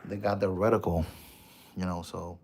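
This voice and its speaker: Man, Puerto Rican accent, very low voice, rambling livestream chat, casual swearing. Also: flat delivery, moderate pace, a little mellow. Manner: rambling, reads and answers viewer comments. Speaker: livestream host.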